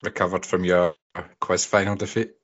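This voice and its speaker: A man's voice: sits low, level tone, normal speed, fairly bright tone. A man hosting a casual football podcast, putting friendly questions to his panel. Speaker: podcast host. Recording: dull lo-fi podcast audio.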